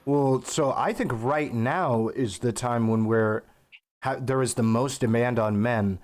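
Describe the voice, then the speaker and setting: A man's voice: mid-range voice, unhurried, a little mellow. A man hosting a live stream debate, talking bluntly and casually, swearing freely and coughing now and then.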